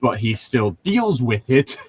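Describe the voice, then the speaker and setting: A man's voice: mid-range voice, sing-song, measured pace, slightly rough, no echo. A man co-hosting a podcast, chatting casually and jokingly and rambling into tangents as he corrects himself. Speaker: podcast co-host commenting on a book. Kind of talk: casual comedic chat.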